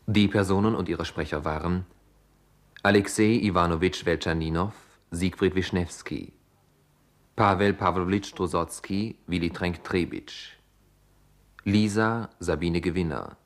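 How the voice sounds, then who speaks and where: Male announcer, deep bass register, slow pace, formal scripted reading, radio credits.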